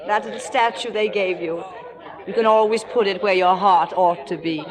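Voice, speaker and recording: A woman's voice: mid-range voice, fairly even intonation, moderate pace, crowd noise, lightly acted. A woman playing a proud, caustic stage actress with dry, biting sarcasm in a 1950s radio drama. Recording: echoey old radio stage, audience.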